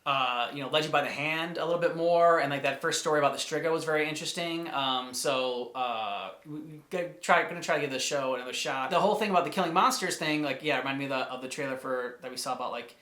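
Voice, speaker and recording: A man co-hosting a casual reaction show, chatting about game cutscenes in a halting, rambling way as he thinks aloud. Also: fairly high pitch, sharp tone. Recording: echoey room.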